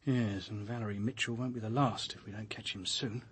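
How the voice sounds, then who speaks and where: An actor with a British accent playing a down-to-earth, blunt police detective in a radio drama, colloquial and matter-of-fact. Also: male voice, fairly low pitch, fairly even intonation, fairly quick, breathy edge. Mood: matter-of-fact, grim.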